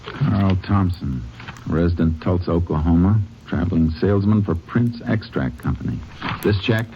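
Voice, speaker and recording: Terse lawman investigator, low pitch, stern, clipped, 1950s radio serial, dull hissy recording. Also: moderate pace. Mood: businesslike. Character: stern, methodical male Texas Ranger investigator. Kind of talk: 1950s radio crime drama acting.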